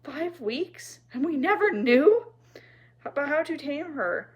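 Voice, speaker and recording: A woman as storybook father, firm, measured, stern yet kindly, fair-minded. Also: medium pitch, muffled recording, lightly acted. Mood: startled questioning.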